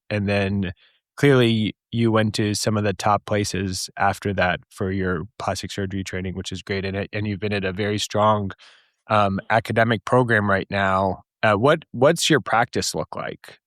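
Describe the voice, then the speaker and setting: A man's voice: lowish voice, a bit bright, no echo, clean studio sound. A man hosting a podcast, talking casually as he introduces and questions his guests. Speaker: podcast host. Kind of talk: casual interview talk.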